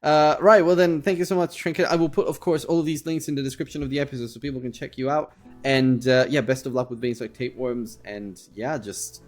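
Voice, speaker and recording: A man hosting a podcast in a casual interview style, speaking haltingly and often repeating words as he goes. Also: medium pitch, steady tone, faint music behind. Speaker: podcast host.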